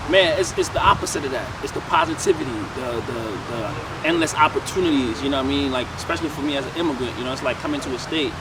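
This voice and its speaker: A man from Senegal, a rapper, chatting casually and animatedly in an interview, with lots of fillers. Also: medium pitch. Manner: fast, animated, loose with many fillers. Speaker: rapper guest. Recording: outdoors with loud traffic noise.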